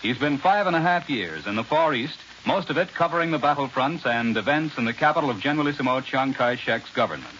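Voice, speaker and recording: A man's voice: medium pitch, expressive, fast talker, hardly any pauses, a bit bright, dull audio. An American man anchoring and interviewing in the scripted style of 1940s radio news, on an old radio recording. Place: New York radio studio. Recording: hissy, band-limited 1940s radio recording.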